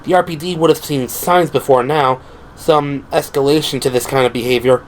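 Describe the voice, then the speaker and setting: An earnest young policewoman in an audio drama, acted out by a man, with a raised pitch. The delivery is composed, measured and analytical, and steady noise sits behind the voice. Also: natural voice, poor audio.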